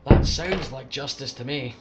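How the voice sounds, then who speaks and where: A man's voice: mid-range voice, moderate pace, lightly acted. A man playing a tough, scornful Scottish railway worker in a radio drama. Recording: clearly noisy dramatised scene.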